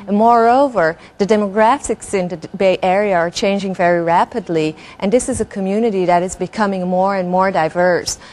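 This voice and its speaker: A woman's voice: fairly low pitch, darkish tone. A woman from Belgium, answering interview questions in an earnest, articulate and considered way. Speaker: interview guest, nonprofit executive director.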